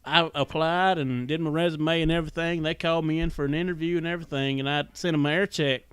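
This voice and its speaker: A man's voice: on the high side, fairly even intonation, quick pace, fairly bright tone, clean tone, no room sound. A man with a Southern US accent chatting casually as a podcast host, telling things in a folksy, rambling way. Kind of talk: casual chat.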